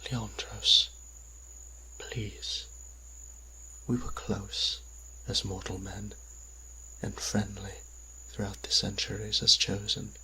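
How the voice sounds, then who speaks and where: A husky, intense voice acted by a man for a devout, centuries-old vampire priest in an audio drama. He speaks slowly and haltingly, full of anguish, often sinking near a whisper. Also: on the low side, level tone, sharp tone, exaggerated voice, poor audio. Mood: bitter, sorrowful. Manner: slow, halting, pleading. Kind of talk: dramatic audio roleplay acting.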